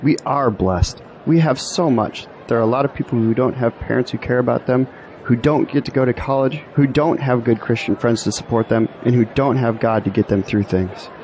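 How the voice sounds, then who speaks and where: A man's voice: mid-range voice, moderate pace, darkish tone, lightly acted, low-quality recording. An actor playing a self-deprecating young male graduate in an audio drama, with a dry delivery. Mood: sincere. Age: young adult. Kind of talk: scripted audio drama dialogue. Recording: faint outdoor background noise.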